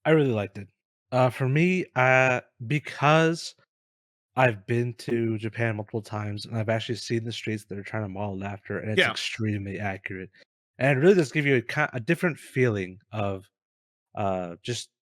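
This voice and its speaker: A man's voice: medium pitch, moderate pace, dry recording, studio quality. A man co-hosting a casual gaming discussion, enthusiastic but hesitant in his phrasing. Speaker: co-host.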